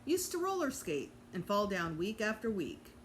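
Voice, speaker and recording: A woman, a teacher, reading a children's book aloud to students in an animated storytelling style. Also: medium pitch, moderate pace. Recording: clear background noise.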